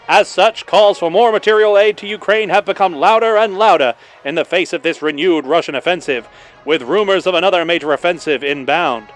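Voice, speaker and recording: A man's voice: on the high side, lightly acted. A man playing a rousing, patriotic 1940s wartime newsreel announcer, narrating in a dramatic, declamatory style over music.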